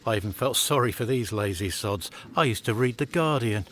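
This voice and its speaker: A man's voice: mid-range voice, expressive, brisk, fairly bright tone, slight background noise, natural voice. An actor playing a grumbling, cynical male council office worker in a naturalistic audio drama, with a blunt manner.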